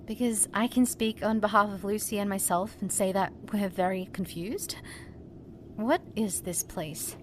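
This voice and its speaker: A woman's voice: mid-range voice, unhurried, a little hiss or hum, lightly acted. A woman playing a sceptical, questioning adventurer's companion in a scripted audio drama. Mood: sceptical, confused.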